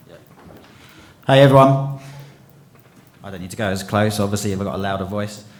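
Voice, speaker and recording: A man presenting product features at a conference, brisk with frequent ums. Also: lowish voice, a little hiss or hum. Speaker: company representative presenting at a conference. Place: conference hall.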